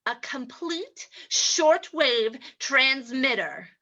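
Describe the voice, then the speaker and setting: A woman's voice: sits high, animated, very slow, bright, forceful tone, dull audio, pitched below the performer's own voice, exaggerated voice. A woman playing a ruthless female spy in old-time radio style, cold, menacing and melodramatic. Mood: cold menace. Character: ruthless female spy holding men at gunpoint.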